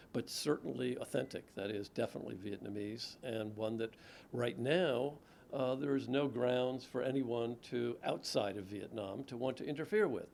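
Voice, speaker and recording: A middle-aged man, an author, answering audience questions in a measured, thoughtful way with frequent restarts. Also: vocal fry, a little mellow, a little hiss or hum. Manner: measured, thoughtful, with frequent restarts and low murmured "mm-hmm" while listening. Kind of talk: lecture Q&A answers.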